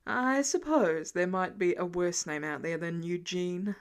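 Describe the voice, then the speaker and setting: A woman's voice: fairly low pitch, unhurried, voice pushed down. A woman voicing a bored, confident rich teenage heir with a nonchalant manner, as in an audiobook. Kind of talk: audiobook character dialogue.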